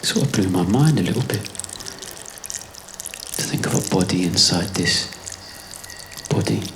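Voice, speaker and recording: A man's voice: sits low, animated, normal speed, vocal fry, noisy background. A man performing a spoken-word monologue in a musing, conversational way, repeating his phrases. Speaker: performance artist, writer performing own piece. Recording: live club performance with music behind. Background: prominent music.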